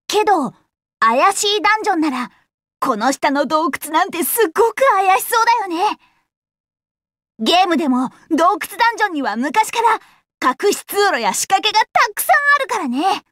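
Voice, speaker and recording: A woman's voice: very high-pitched, very animated, normal speed, a bit bright, no echo, clean studio sound, full character voice. A woman voicing a plucky, earnest, friendly young fox-eared girl adventurer in a Japanese anime game, with boyish, frank, upbeat phrasing. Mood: earnest, helpful. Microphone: close, dry studio recording.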